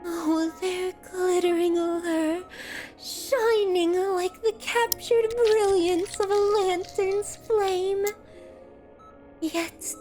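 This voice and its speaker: A woman's voice: very high voice, warm tone. A woman playing a doting, sinister, genteel female captor in a theatrical ASMR roleplay, with an ornate, tender, possessive way of speaking. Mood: entranced, wistful. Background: background music.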